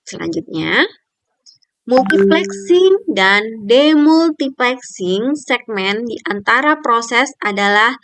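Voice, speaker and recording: Slow, careful woman presenting. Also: fairly high pitch, expressive, a bit bright, a little hiss or hum, poor audio. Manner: careful, reading point by point. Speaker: student presenter. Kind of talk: scripted article review presentation.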